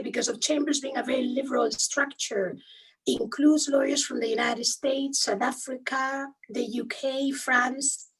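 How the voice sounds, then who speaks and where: A woman from Spain with a strong Spanish accent, a guest attorney answering interview questions quickly and earnestly, often restarting as she searches for English words. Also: high-pitched, vocal fry, slightly rough. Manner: fast, earnest, self-correcting, searches for words. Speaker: guest, human rights attorney. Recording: distant, garbled video call audio.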